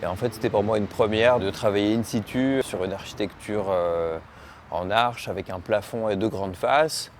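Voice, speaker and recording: Low-voiced Frenchman, hesitant speech. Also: moderate pace, slight background noise. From Lyon, France. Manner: hesitant, searching for words with repeats. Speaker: muralist painter. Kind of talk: interview talk about own work.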